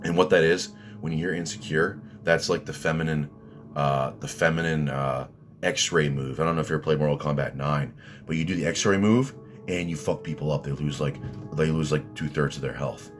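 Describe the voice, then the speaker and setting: A man with a deep voice, ranting at his critics in rambling, combative commentary full of restarts and fillers. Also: fairly even intonation, moderate pace, soft background music. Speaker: vlogger answering critics.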